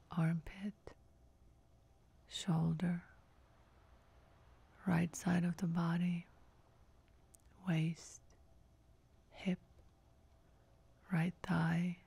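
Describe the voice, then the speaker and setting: A woman guides a relaxation meditation in a soft, breathy voice close to a whisper. Her pitch is fairly low and stays very even from phrase to phrase. She gives each instruction calmly and very slowly, in a soothing, measured manner. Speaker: yoga nidra meditation guide.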